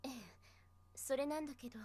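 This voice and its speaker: A woman plays a kind, earnest schoolteacher in a visual novel game. She is soft-spoken and composed, with a high voice and brisk delivery. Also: steady tone, lightly acted. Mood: gentle, earnest. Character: gentle, earnest adult female schoolteacher.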